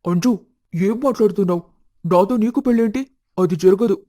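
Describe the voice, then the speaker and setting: A man playing a reluctant, high-voiced young man. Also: a little fast, dark tone, close to an everyday voice. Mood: protesting. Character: reluctant young man hiding his love. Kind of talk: animated moral-story dialogue.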